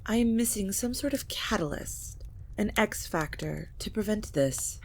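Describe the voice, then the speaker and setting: A woman playing an ancient, scheming vampire matriarch in an audio drama, speaking in a measured, formal and grave manner. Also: mid-range voice, lively intonation, slight background noise. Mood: grave, resolute. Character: ancient vampire matriarch, scheming scholarly mother of grown children. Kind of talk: scripted audio drama acting.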